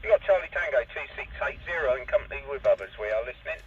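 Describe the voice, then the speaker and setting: A man with a slightly high voice puts out formulaic radio calls as a CB operator. The transmission is muffled and distant, swamped by noise. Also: lively intonation, fast talker. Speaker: CB radio operator calling for stations.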